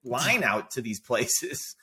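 Guy cohosting an investing podcast, chatty, laughs a lot, normal pitch. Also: big pitch swings, slow, bright, forceful tone, husky. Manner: chatty, upbeat, laughs often. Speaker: podcast co-host. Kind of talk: casual investing chat.

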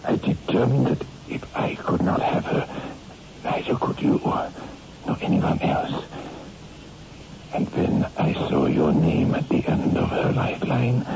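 A man acting a jealous, grave palm reader in a 1960s radio horror drama, speaking solemnly with dramatic pauses. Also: sits low, vocal fry, background noise, exaggerated voice. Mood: bitter confession. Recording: muffled old radio recording with music.

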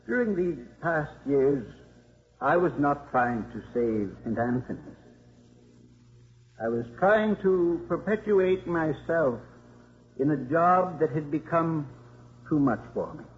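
An actor playing a contrite, weary old priest who heads a college, speaking solemnly and formally in a 1950s radio drama. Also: male voice, on the high side. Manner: solemn, measured, formal speech. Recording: muffled, noisy 1950s radio broadcast.

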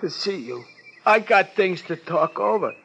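A man's voice: high-pitched, expressive, measured pace, gravelly, a bit bright, breathy edge, full character voice. An American man playing a pitiful, dim-witted comic client in a 1950s radio drama, plaintive and simple in his speech. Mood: tearful, sheepish. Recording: vintage 1950s radio recording.

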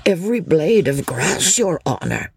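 A man voicing a cunning, greedy old male peddler in an audiobook, humble and deferential in his deliberate speech. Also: high voice, animated, slightly rough, no echo, pitched above the performer's own voice, heavily acted. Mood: servile, sly. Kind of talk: audiobook character voice.

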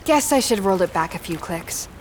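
A woman's voice: medium pitch, lively intonation, quick pace, a little hiss or hum, natural voice, rough recording. A woman playing a cocky, wry young undercover spy in an audio drama. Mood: wry, breezy.

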